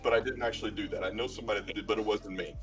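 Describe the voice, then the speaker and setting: Low-voiced man telling stories. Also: fairly even intonation, rapid-fire, loud music behind the voice. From South Arkansas, USA. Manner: storytelling, self-mocking, joking. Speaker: esports caster. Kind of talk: casual banter on a stream.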